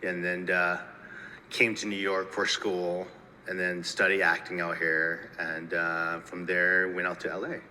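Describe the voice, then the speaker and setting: A man from Minnesota answers festival interview questions haltingly, repeating words and often adding "you know". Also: deep voice, little pitch movement, bright, forceful tone. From United States (Minnesota). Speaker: filmmaker and actor. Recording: echoey hall, faint ambient noise.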